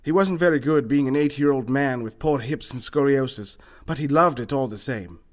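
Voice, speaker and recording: A man's voice: medium pitch, normal speed, close to an everyday voice. A man playing a pompous, wealthy, eccentric businessman in a comic radio mystery, speaking in a formal, theatrical way. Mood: mournful. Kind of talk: comedic old-time radio mystery acting.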